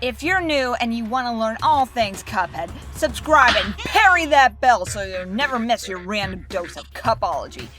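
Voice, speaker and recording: A woman hosting a fan commentary vlog, talking in a gushing, excitable way and repeating herself for emphasis. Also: a little high, moderate pace, hardly any pauses. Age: adult. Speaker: YouTube fan channel host. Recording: music behind the voice. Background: background music.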